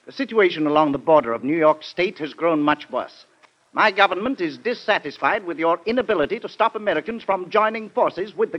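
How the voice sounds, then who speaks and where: An actor playing a stern, stiffly polite British ambassador in an old-time radio drama. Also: male voice, on the high side. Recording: muffled vintage radio recording.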